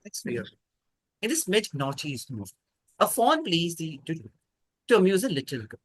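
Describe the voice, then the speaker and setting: A man's voice: fairly high pitch, wildly swooping pitch, moderate pace, slightly rough, no echo. A man, acting as quiz master and reading out questions in an online quiz.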